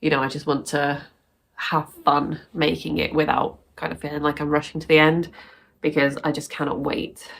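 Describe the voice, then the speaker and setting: A woman's voice: low-pitched, little pitch movement, normal speed, creaky, fairly bright tone. A woman vlogging casually about her crafts, chatty and rambling, sounding bunged up and sniffly. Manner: chatty and rambling, laughs often. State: stuffy nose from sneezing. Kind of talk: casual chat to camera.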